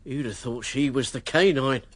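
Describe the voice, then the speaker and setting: An actor in a radio drama playing a forthright army major turned politician, blunt and combative. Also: male voice, medium pitch, moderate pace, no echo, close to an everyday voice. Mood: indignant.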